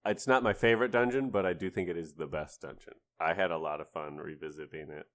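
Low-voiced man in playful chat. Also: fairly even intonation, quick pace. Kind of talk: casual chat about a video game.

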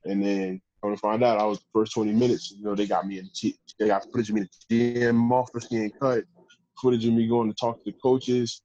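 A man, a professional football player, telling stories in a casual interview with a deep voice and a loose, rambling way of talking. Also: little pitch movement, fast, mellow, no echo, lo-fi sound. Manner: laid-back, rambling with restarts. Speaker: pro football player guest.

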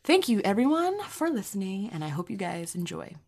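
A woman's voice: mid-range voice, very animated, a little fast, gravelly, over loud music. A woman co-hosting a movie podcast, chatting casually in a bubbly, teasing way. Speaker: podcast co-host. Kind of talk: casual chat.

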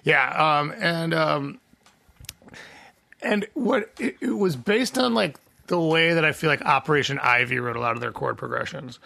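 Man, podcast guest, rambling casual talk, bright voice, slightly high. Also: moderate pace, gravelly, no room sound. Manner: rambling, lots of "like" and restarts.

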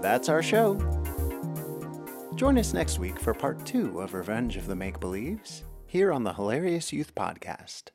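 A man's voice: medium pitch, wildly swooping pitch, unhurried, gravelly. A man announcing as a podcast host, reading a scripted show sign-off. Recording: loud music under the voice.

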